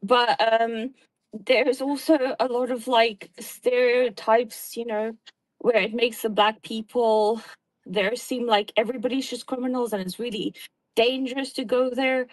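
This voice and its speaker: A woman's voice: fairly high pitch, steady tone, a little slow, no room sound, rough recording. A woman with a South African accent in casual chat, earnestly explaining things at length. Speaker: guest.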